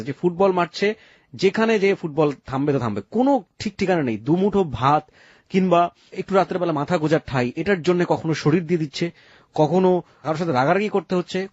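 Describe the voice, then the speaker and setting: A man's voice: a little high, a little mellow, no room sound, muffled recording. A man with a Bangladeshi Bengali accent hosting a radio interview, asking questions and commenting reflectively, mixing in English words. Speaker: radio show host interviewer.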